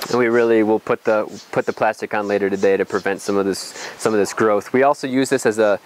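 Low-voiced young man giving an informal tour. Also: steady tone, fast, a little hiss or hum. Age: young adult. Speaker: farm guide. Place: outdoors on a farm. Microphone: lapel mic.

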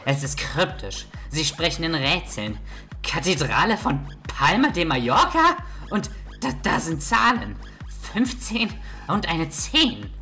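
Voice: medium pitch, big pitch swings, a little slow, bright, forceful tone, exaggerated voice. In a parody audio drama, a performer voices an irritable, self-important male detective, grumbling and theatrical. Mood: dramatic, raving. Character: irritable, self-important old-school male detective named Edgar, given to visions. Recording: music under the voice.

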